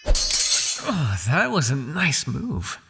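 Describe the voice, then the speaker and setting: Casting: male actor as smug vampire underworld lord, condescending and possessive, gravelly mid-pitched voice, intimate ASMR roleplay delivery. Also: huge pitch swings, slow, loud music behind the voice, full character voice. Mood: condescending, teasing. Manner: taunting, silky, condescending with sighs and soft shushing.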